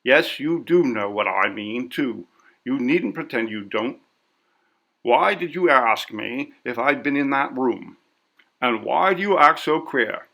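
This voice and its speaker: A man voicing a brisk, bossy, suspicious sister in a story, with a scolding, insistent manner. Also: mid-range voice, expressive, gravelly, bright, forceful tone, exaggerated voice. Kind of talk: audiobook character voice.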